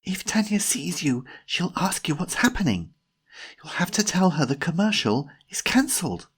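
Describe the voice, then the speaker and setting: Man putting on a high-pitched, gossipy posh young woman. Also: measured pace, slightly rough. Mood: urgent whispering. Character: posh, excitable young woman, whispering and bossy.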